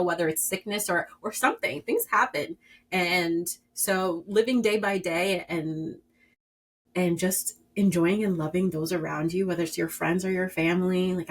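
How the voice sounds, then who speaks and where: Emphatic woman with a loud voice. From Puerto Rican and Dominican background. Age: 30s. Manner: earnest, emphatic, rising to a loud pitch when stressing a point. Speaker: podcast guest. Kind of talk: candid personal interview.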